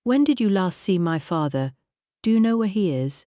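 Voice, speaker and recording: A reader doing a shaken young hotel owner. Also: female voice, mid-range voice, animated, moderate pace, warm tone, no room sound, studio quality, voice pushed up, lightly acted. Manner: stammering, anxious questions.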